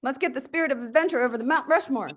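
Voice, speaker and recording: A woman playing a bossy, excitable eight-year-old adventurer girl in a table read of an animated film, with a brash, commanding delivery. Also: sits high, very fast. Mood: commanding, playful.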